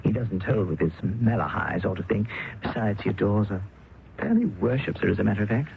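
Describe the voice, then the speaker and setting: Earnest young doctor, gravelly edge, animated, tinny radio, faint hiss. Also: male voice, fairly low pitch, brisk. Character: idealistic young male doctor in love. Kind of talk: 1970s radio drama acting.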